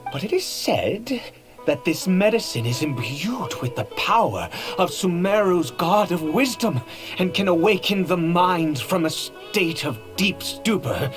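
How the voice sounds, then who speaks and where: An actor playing an ancient cursed nobleman in a video game, frail, desperate and unstable, speaking theatrically and haltingly with erratic swings. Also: high voice, sing-song. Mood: earnest, brooding. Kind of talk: dramatic video game voice acting. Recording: game audio with faint music behind.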